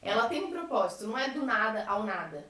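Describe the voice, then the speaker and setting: A woman's voice: mid-range voice, very animated, quick pace, bright, forceful tone. A young Brazilian woman in casual podcast conversation, explaining things with frequent conversational tags. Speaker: member of a science theatre group. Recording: echoey room, distant from microphone.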